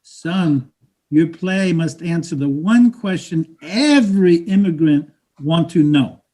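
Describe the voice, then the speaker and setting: A man's voice: fairly high pitch, animated, slow pace, mellow. A man playing a proud, scheming middle-aged immigrant father in a staged play reading, pleading and emphatic. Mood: demanding.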